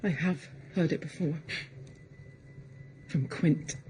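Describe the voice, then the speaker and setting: Mellow, worried housekeeper. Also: female voice, deep voice, steady tone, takes it slowly, a little hiss or hum. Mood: troubled. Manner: soothing, hesitant. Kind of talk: gothic audio drama dialogue.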